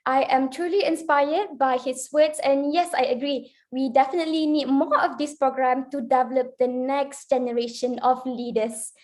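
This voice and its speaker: A woman's voice: high voice, fairly even intonation, moderate pace, few pauses. A woman acting as emcee of an online program, formally reading prepared remarks. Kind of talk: scripted event hosting. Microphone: video call.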